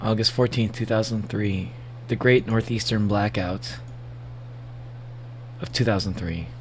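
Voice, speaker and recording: A man with a gravelly voice giving a casual livestream reaction, rambling and joking playfully as he comments and reads bits aloud. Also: fairly low pitch, unhurried, slight background noise. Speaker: streamer reacting to horror videos.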